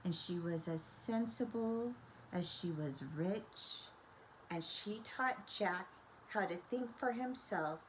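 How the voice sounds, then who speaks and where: An older woman storyteller reading a children's bedtime story in a gentle, expressive, grandmotherly manner. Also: medium pitch, a little hiss or hum, rough recording.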